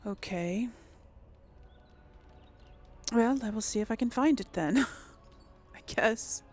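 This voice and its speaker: A woman's voice: a little high, slow pace. A woman hosting a casual let's play, thinking aloud and often trailing off into mutters. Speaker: gaming video host.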